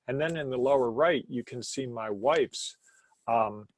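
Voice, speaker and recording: A man's voice: mid-range voice, measured pace. Laid-back man presenting a webinar. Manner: holding back a naturally loud voice, laid back. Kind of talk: casual webinar talk. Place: home basement office.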